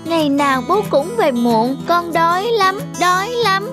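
A woman's voice: extremely high pitch, measured pace, over music. A woman voicing a plaintive little girl in a cartoon, pleading. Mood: plaintive, hungry. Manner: pleading, childlike.

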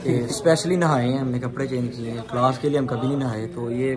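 A young man teasing his friends in relaxed, joking banter. He is caught on a phone inside a busy mall, with shoppers' chatter all around. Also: mid-range voice, fairly quick. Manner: joking, teasing, mixes Punjabi phrases. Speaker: friend of the vlogger. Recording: phone audio with a crowd behind.

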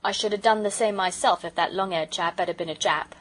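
A woman's voice: medium pitch, fairly quick, fairly bright tone, natural voice. A woman voicing a proud, sulky working-class English railway porter in a storybook, with colloquial speech and dropped aitches. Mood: opinionated.

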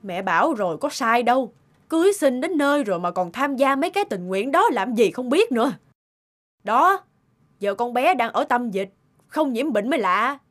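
Anxious middle aged cartoon mom, bit high, nagging, faint music behind. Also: female voice, sing-song, moderate pace, fairly bright tone. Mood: scolding. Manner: fretful, nagging, blunt. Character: anxious, scolding middle-aged mother of a groom. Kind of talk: cartoon dubbing.

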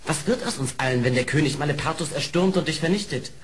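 An actor playing an anxious, loyal badger nephew in an old audio play, pleading theatrically. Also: male voice, on the high side, animated, fast, a little hiss or hum, lightly acted. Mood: lamenting, worried. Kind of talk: German audio play acting.

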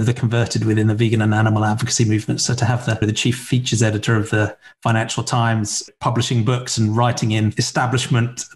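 A man in his forties hosting a podcast interview over a video call from a room at home, earnest and encouraging. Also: mid-range voice. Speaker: podcast host. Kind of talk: interview conversation. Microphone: webcam on video call.

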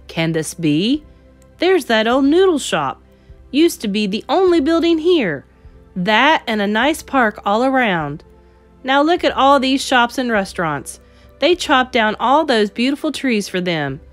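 A woman's voice: on the high side, sing-song, unhurried, soft background music. A woman reading a storybook aloud as a kindly, nostalgic old male storyteller who muses and exclaims to himself. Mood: bewildered, grumbling. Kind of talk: storybook character voice in a read-aloud.

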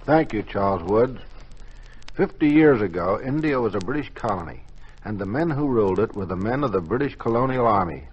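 A man's voice: fairly low pitch, animated, fairly quick, breathy edge, low-quality recording. A man narrating a scripted story in 1950s radio style.

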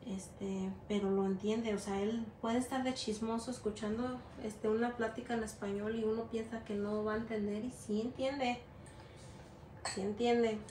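A woman's voice: a little high, steady tone, normal speed, mellow, a little hiss or hum. A middle-aged Mexican-American woman from the United States, teaching a gelatin art class in a rambling, chatty way with many fillers and English words mixed into her Spanish. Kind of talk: casual hands-on class demonstration. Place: indoor work table. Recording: echoey room with faint ambience.

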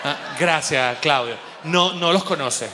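A Venezuelan man doing animated, colloquial stand-up and audience banter on stage into a handheld mic, cursing freely. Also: fairly high pitch, slow. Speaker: stand-up comedian and show host. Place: on stage in a dark theater with audience. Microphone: handheld microphone. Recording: echoey theater hall, audible background noise.

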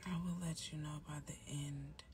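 A young woman with a soft, whispery voice, hoarse and nearly losing it, chatting casually on a phone livestream at night. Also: low voice, flat delivery, normal speed, slight background noise. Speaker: livestream host. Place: dark room at night. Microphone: phone held close.

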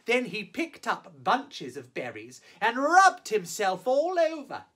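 A man performing as a drag storyteller, reading a children's picture book theatrically with exaggerated emphasis and drawn-out words. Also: high voice, wildly swooping pitch, pushed and bright. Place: small indoor set, on camera.

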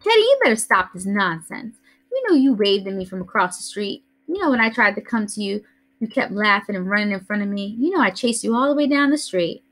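A woman voicing a frightened little girl who scolds and threatens to tell on her brother, with a shrill, whiny voice. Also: expressive, fairly quick, a bit bright. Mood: scolding.

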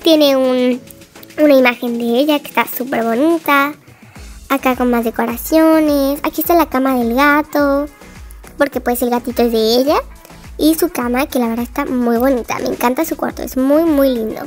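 High-voiced woman gushing over a game house. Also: moderate pace, soft background music. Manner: chatty, gushing, many "como" fillers. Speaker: game house tour narrator. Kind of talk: casual voice-over narration of a game house tour.

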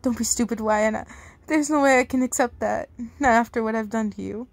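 A woman reader plays a young man in a romantic drama, tormented by guilt. The voice sits fairly high, and the delivery is intense and pleading. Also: brisk, gravelly, no echo. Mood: agitated.

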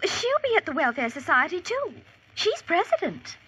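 An actress playing a busy, efficient, earnest wife in a 1940s radio drama, with a proper, brisk manner. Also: female voice, very high-pitched, sing-song, pushed and bright, full character voice. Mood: businesslike. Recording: muffled noisy vintage radio.

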